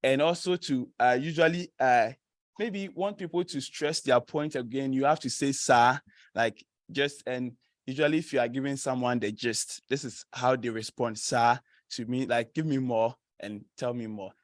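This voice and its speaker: A man's voice: medium pitch, moderate pace, no room sound, studio quality. Laughing Ghanaian man presenting casually. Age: young adult. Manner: chatty, laughs often, many fillers. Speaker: student presenter. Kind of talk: informal presentation to an audience.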